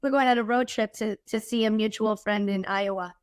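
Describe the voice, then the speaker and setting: A woman guest, her voice a little husky from a cold, chatting casually and candidly with a quick, joking manner. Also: medium pitch, fairly even intonation, dry recording, studio quality. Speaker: podcast guest, keynote speaker. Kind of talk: casual chat.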